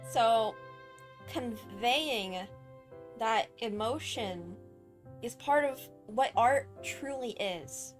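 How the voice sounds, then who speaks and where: A woman's voice: on the high side, very slow. A woman casually commentating as she streams a video game, playful and prone to little sing-song noises. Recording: game music behind.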